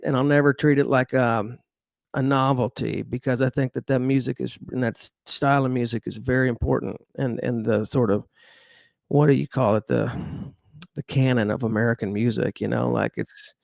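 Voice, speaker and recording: Man, middle-aged, relaxed guest, light crooner's voice, rambly, dry close mic. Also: vocal fry, no room sound. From Dallas, Texas. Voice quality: fairly high crooning voice. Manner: easygoing storyteller, lots of fillers and restarts. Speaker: musician guest. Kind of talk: relaxed podcast interview.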